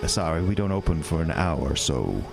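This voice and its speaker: A sly, menacing carnival showman, with a very low, velvety voice. He coaxes and tempts in a theatrical drawl, in a horror radio drama with faint music underneath. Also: very animated, moderate pace, gravelly, full character voice. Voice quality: deep, coaxing, theatrical. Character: sinister smooth-talking male carnival showman. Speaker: carnival owner.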